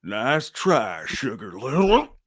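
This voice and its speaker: A man playing a hulking, crude, taunting mutant brawler in a radio theatre read, brash and jeering with a rough, gravelly voice. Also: medium pitch, wildly swooping pitch, slow pace, a bit bright, full character voice.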